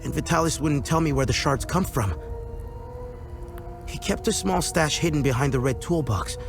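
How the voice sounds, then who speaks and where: An actor playing a guarded, earnest weapons craftsman in a video game, speaking in a measured, reflective way. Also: a little high, creaky, over music. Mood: matter-of-fact, instructive. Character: guarded, earnest male weapons craftsman, a loyal former apprentice. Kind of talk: video game dialogue acting.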